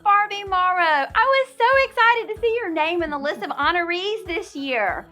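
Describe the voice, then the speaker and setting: A woman's voice: very high voice, expressive, normal speed, sharp tone, faint music behind. A woman co-hosting an awards program, introducing honorees in an enthusiastic, informal and emphatic conversational way.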